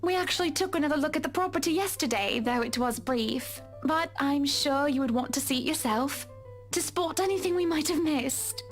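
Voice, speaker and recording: A woman's voice: high-pitched, soft background music, close to an everyday voice. A woman voicing a composed, gracious wealthy wife. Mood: polite. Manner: gracious, measured.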